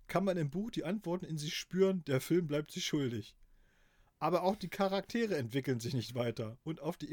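Podcast co-host, middle-aged man from East Germany, dry deadpan reader, fairly high pitch, very dry sound. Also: no echo. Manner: dry deadpan delivery, laughing at his own reading. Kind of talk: casual podcast chat and mock-serious reading aloud.

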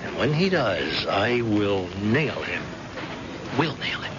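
An animated, stubborn police captain, blinded but insistent in an old radio drama. He protests and reassures with halting starts. The recording is dull and clearly noisy. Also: fairly low pitch. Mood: defiant.

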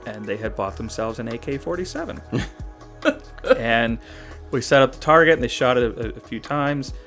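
A man who is a guest on a podcast, telling stories in a rambling, halting way. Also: medium pitch, fairly bright tone, soft background music. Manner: rambling storyteller, halting restarts. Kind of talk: casual podcast chat.

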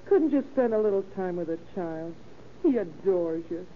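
A woman's voice: on the low side, lively intonation, normal speed, lightly acted. An American actress playing a long-suffering, reproachful wife and pleading mother in an old radio drama. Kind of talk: 1930s radio drama acting. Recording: tinny, noisy old radio recording.

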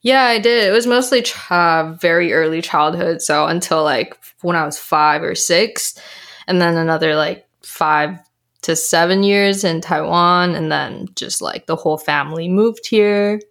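A woman's voice: lowish voice, a little slow, vocal fry, a bit bright. A young woman from Canada, a guest on a podcast, chatting casually and laughing often. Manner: bubbly, laughs often, lots of "like" and "um". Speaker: podcast guest, health coach. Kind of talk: casual interview chat.

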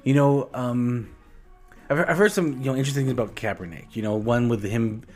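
A man's voice: medium pitch, a little fast, a little mellow, faint music behind. A man co-hosting a casual podcast, thinking aloud with many restarts and a reflective manner. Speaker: podcast co-host.

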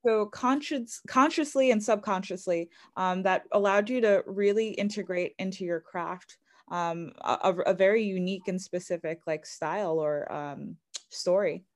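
A woman's voice: medium pitch, moderate pace. A woman hosting a podcast, upbeat and filling her sentences with ums. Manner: enthusiastic, lots of fillers. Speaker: podcast host. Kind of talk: casual podcast interview.

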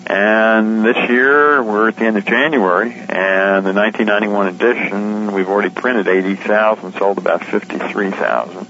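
A man's voice: fairly low pitch, steady tone, moderate pace, hardly any pauses, a bit bright, a little hiss or hum. A man being interviewed on radio as a publisher, explaining things conversationally with informal asides. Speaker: publisher and editor of a shortwave radio guide, interviewee. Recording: dull, lo-fi radio interview line.

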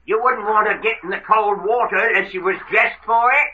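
A menacing gangster henchman with a high, piercingly forceful voice. He talks in tough underworld slang. It sounds like a muffled old radio crime serial. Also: brisk. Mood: sneering, threatening. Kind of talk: 1940s radio adventure acting.

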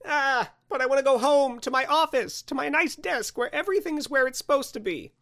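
A man's voice: very high-pitched, brisk, sharp tone, no room sound, studio quality, pitched above the performer's own voice, full character voice. A man voicing a fussy, anxious office worker in a story, whiny, indignant and flustered. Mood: pleading whine.

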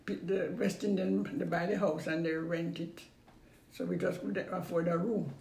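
A woman in her eighties with a Jamaican accent, recalling her past in an unhurried way that repeats phrases and trails off. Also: fairly low pitch, steady tone, gravelly, darkish tone. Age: 80s. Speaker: interviewee. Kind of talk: casual recollection. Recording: roomy recording with faint background noise.

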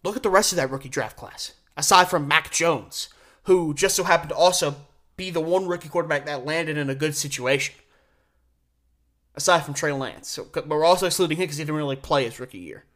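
Male sports podcast host: conversational solo commentary, opinionated delivery, leans hard on key words with deliberate pauses. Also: on the high side, a bit bright.

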